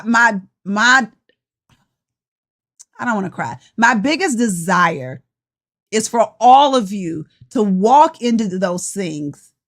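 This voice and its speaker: A sixty-year-old woman coach, giving a passionate, tired, preachy live talk straight to her viewers. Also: medium pitch. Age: 60s. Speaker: life coach. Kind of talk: motivational live-stream talk.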